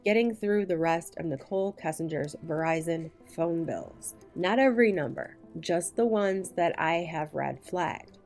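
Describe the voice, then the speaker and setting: A woman with a somewhat low voice presents scripted true crime narration. Her storytelling is measured and deliberate, leaning on key phrases for emphasis. Faint music runs behind her. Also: darkish tone.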